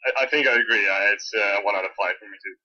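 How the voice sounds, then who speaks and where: Male co-host, very forward bright tone with a husky rasp, hesitant casual delivery, dull lo-fi recording. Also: lowish voice, quick pace. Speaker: co-host reviewing fan fiction. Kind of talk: casual chat.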